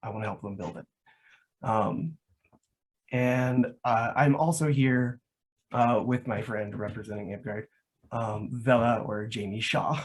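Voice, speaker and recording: A man in his thirties talks casually as a panel guest. His voice sits at a medium pitch with a husky, rough edge. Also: a little slow. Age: 30s. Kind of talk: casual self-introduction.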